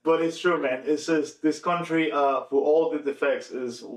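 A man from Venezuela with a Venezuelan accent, a native Spanish speaker, talking casually and informally in an animated way. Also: a little high, normal speed. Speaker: podcast participant. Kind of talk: casual conversation. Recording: echoey room, distant mic.

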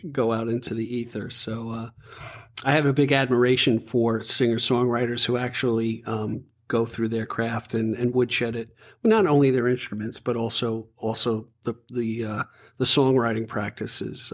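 A chatty man in his fifties hosts an interview, rambling through his questions. His voice sits at a middle pitch. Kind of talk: casual interview.

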